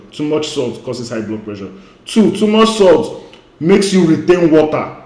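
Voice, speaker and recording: A man's voice: a little high, a little mellow, reverberant. A man who grew up speaking Igbo, giving an emphatic online lecture as a weight-loss coach. He repeats his key points and often says "you know".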